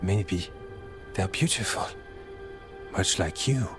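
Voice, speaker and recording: An actor playing a gentle, earnest, nature-loving warrior man in a video game, speaking in short, soft-hearted phrases. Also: sits low, takes it slowly. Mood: tender, wondering. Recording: clear music behind the voice.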